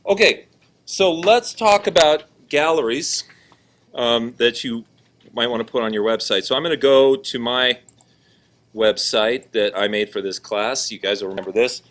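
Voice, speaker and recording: A male teacher with a loud, carrying voice at an ordinary pitch leads a class. He talks casually and keeps checking that everyone is following. He is in a classroom and walks around as he talks. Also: moderate pace. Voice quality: loud, projecting voice. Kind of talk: classroom lesson, walking around.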